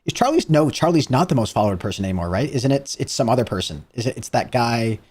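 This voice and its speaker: A man's voice: mid-range voice, very animated, fast talker, darkish tone, husky. A young man live-streaming his reaction to a video, chatting casually and rambling with lots of "like". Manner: rambling, heavy use of "like".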